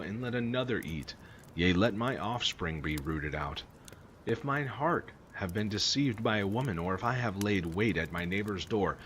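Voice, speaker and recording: Male scripture reader, lowish pitch, solemn declamatory delivery, slightly noisy recording. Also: a bit bright. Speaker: amateur Bible reader. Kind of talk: reading scripture aloud, King James Version. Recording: clearly noisy recording with ambience.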